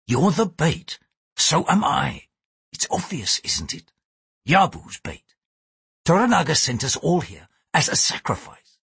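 Man voicing a blunt english ship pilot, slow probing questions, husky, dry close mic. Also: on the high side, wildly swooping pitch, fairly bright tone, no room sound, voice pushed up, full character voice. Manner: archaic thee-and-thou speech, measured and probing. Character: blunt English sea pilot, man in his prime, wary.

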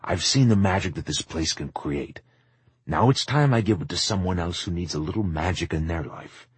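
Audiobook role: gentle, dreamy old man, acted by the narrator, deep and husky, encouraging. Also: normal speed, no echo. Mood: warm, wistful. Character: kindly, dreamy, whimsical old shopkeeper man. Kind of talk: audiobook character acting.

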